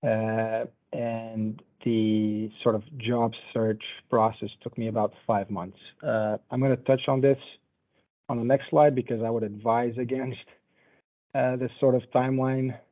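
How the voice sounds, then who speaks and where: A man in his twenties from Portugal, giving a relaxed, conversational talk in an online lecture. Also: medium pitch, unhurried. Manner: relaxed, conversational, loosely paced. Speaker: panel speaker. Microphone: video call. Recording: tinny phone-like video call audio.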